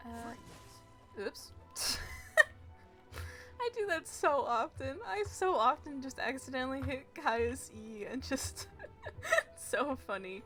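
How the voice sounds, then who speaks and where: A woman's voice: high voice, expressive, slow pace, long pauses, a bit bright, soft background music. A young woman streaming a video game, talking in a casual, sarcastic, blunt and giggly way. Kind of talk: casual live gameplay commentary.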